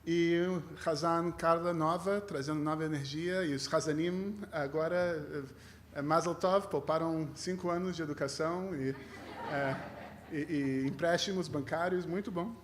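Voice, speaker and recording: A man from Rio de Janeiro with a slightly mixed Brazilian accent, a rabbi giving an informal, humorous sermon in a halting, conversational manner. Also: a little high, fairly even intonation, a little hiss or hum. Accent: Brazilian with a mixed foreign tinge. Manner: conversational, halting, self-deprecating. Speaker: rabbi, guest preacher.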